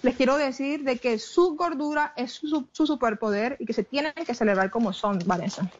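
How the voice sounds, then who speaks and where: A woman's voice: high-pitched, a little fast, lo-fi sound, a little hiss or hum. A woman from Colombia with a Caribbean coastal accent mixed with English, speaking as an interview guest in a passionate, emphatic way with frequent restarts. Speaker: plus-size model, guest. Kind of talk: interview conversation.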